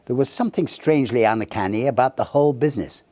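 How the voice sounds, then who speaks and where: A man giving a theatrical, measured narration of a Victorian ghost story, with dramatic pauses. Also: medium pitch, a little mellow, no echo. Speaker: audiobook narrator.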